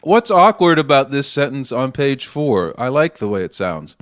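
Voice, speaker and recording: A man acting in an audio drama as a middle-aged politician father, educated, defensive but caring, speaking in a conversational, gently questioning way. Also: medium pitch, very animated, moderate pace. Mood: earnest.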